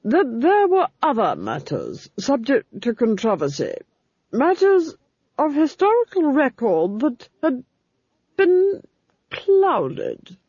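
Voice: high-pitched, wildly swooping pitch, takes it slowly, darkish tone, husky, dry recording, pitched above the performer's own voice, full character voice. An audiobook reader voicing a domineering, cold duchess who speaks in a commanding, cutting way, in a dull lo-fi recording. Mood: imperious.

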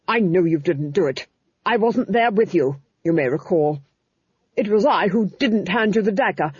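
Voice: medium pitch, lively intonation, moderate pace, a little mellow, slightly rough, no echo. An audiobook reader voicing a domineering, cold duchess who speaks in a commanding, cutting way, in a dull lo-fi recording. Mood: imperious.

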